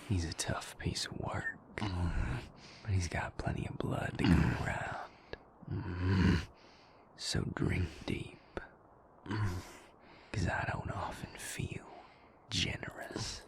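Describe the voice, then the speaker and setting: A man's voice: low voice, slow pace, pauses often. A man voicing a cruel vampire who was once a king, taunting his captive in a menacing whisper in an audio roleplay drama. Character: cruel vampire, former male king, sadistic captor.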